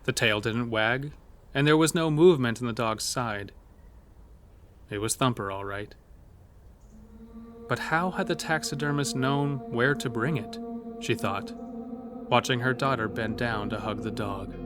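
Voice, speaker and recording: A man's voice: mid-range voice, unhurried, pauses often, music in the background, lightly acted. A man narrating a scripted horror audio drama, telling a grisly tale as its storyteller. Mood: measured, grim.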